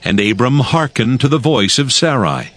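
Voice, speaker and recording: A man narrating scripture from a script in a solemn, measured manner. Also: medium pitch, expressive, fairly bright tone, no room sound.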